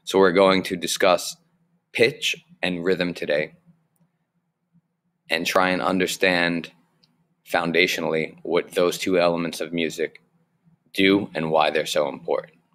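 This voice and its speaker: Deep-voiced man teaching a music lesson. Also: flat delivery, fairly bright tone. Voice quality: low voice. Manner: slow, clear explaining. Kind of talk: recorded online lesson over slides.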